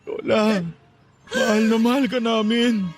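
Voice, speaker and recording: High-pitched, anguished Filipino husband in grief. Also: male voice, level tone, faint music behind. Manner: anguished, halting delivery. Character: stunned grieving husband, devoted and heartbroken. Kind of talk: emotional Filipino radio drama acting.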